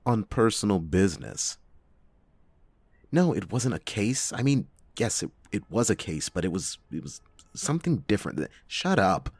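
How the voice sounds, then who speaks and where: Man playing a deep, echoey noir private detective. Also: big pitch swings. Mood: defensive, irritated. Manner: hesitant, drawn-out phrasing with pauses and sighing chuckles. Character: world-weary male noir private detective, reluctant and evasive. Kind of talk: first-person noir detective roleplay, hushed dramatic monologue. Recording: echoey long reverb, music behind.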